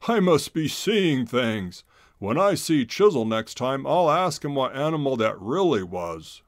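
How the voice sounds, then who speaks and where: A man's voice: medium pitch, sing-song, a little slow, no echo, studio quality. A man voicing an amused, puzzled grown-up male otter in a children's storybook, musing emphatically to himself. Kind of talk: children's storybook read-aloud.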